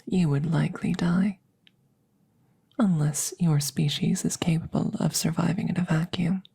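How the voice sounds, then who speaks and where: A woman voicing a formal, curious alien examiner in an ASMR roleplay, soft and breathy, often whispering, with precise clinical phrasing. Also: lowish voice, steady tone, a little slow, heavily acted. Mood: clinical, hushed. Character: formal, curious female alien examiner interviewing a rescued creature.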